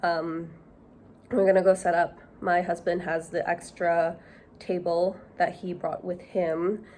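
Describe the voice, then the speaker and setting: A young woman chatting casually to camera in a vlog, nasal and hoarse from a cold, thinking aloud with fillers. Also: on the low side, flat delivery, a little slow, a little mellow, slight background noise. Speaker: knitting vlogger.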